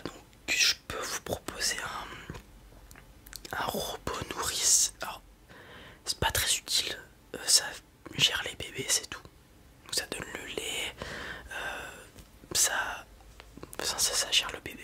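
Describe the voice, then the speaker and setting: A man playing a blunt, casual space robot merchant in a whispered ASMR roleplay, muttering hesitantly in a breathy voice. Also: a little slow, pauses often, pushed and bright. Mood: casual, matter-of-fact. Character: gruff, casual male space robot merchant, wary but kind.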